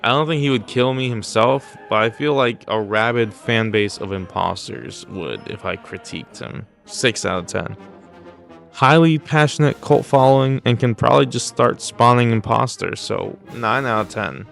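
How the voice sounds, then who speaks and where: A man's voice: medium pitch, normal speed, vocal fry, a bit bright, faint music behind. A man hosting casual comedic video commentary, mock-serious, blunt and crude with plenty of swearing. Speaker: YouTube host.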